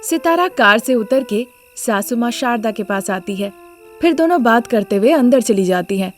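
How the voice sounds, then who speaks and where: A woman's voice: a little high, animated, moderate pace, faint music behind. A woman storyteller reading scripted narration of a moral story.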